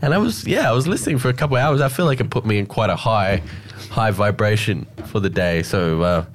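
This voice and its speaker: An Australian man hosting a radio show, chatting in a relaxed, storytelling way with plenty of fillers. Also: on the low side, fairly quick. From Alice Springs, Australia. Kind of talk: casual radio chat.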